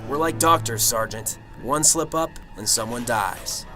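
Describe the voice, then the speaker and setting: A man's voice: medium pitch, animated, a little slow, bright, forceful tone, faint music behind. A man playing a cynical, sarcastic, world-weary detective in an amateur radio drama, with a dry, sardonic manner. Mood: accusing. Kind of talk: amateur radio drama acting and first-person narration.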